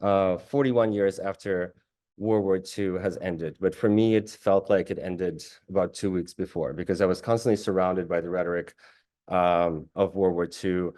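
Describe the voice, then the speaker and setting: Low-voiced man from Russia presenting his work. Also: steady tone, normal speed, mellow. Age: late 30s. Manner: thoughtful, with frequent restarts and fillers. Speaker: guest artist giving a talk.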